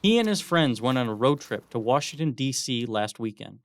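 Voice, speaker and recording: A man's voice: mid-range voice, big pitch swings, brisk, no echo, studio quality. A young American man narrating scripted news reports as a student television reporter.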